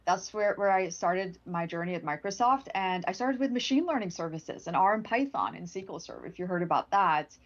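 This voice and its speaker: A woman's voice: fairly low pitch, expressive, fairly quick, hardly any pauses, lo-fi sound. A woman from Sweden, a product manager speaking as a guest in a casual livestream interview, chatty and upbeat with frequent restarts. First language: Swedish. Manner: chatty, upbeat, laughs often, many restarts.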